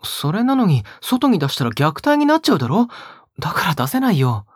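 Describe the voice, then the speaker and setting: Breathy, sweetly menacing young captor. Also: male voice, high-pitched, wildly swooping pitch, a little fast, no echo, clean studio sound, heavily acted. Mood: sweetly possessive. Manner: teasing, coaxing, talks as if to a pet. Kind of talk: intimate Japanese audio drama acting.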